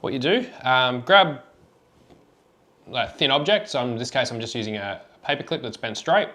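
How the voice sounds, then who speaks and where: A man with a mid-pitched voice presents a practical how-to demonstration. He explains things step by step in plain, informal language, as a product tutorial presenter would. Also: lively intonation, moderate pace, pushed and bright.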